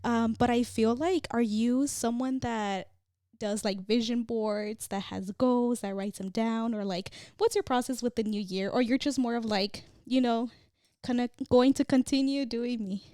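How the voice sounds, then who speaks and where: Woman, podcast co-host, smooth clear voice, dry close sound, casual reflective chat, lots of fillers. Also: a little high, unhurried, mellow, no echo. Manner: reflective, many fillers like "you know" and "like".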